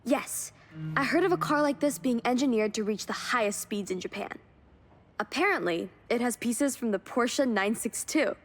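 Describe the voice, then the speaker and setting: Keen female classic-car hunter for a video game, played by an actress, higher voice, admiring and upbeat, light music underneath. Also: normal speed, natural voice. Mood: admiring, friendly. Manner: enthusiastic, admiring. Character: keen young woman car hunter finding lost classics. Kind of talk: scripted video game dialogue.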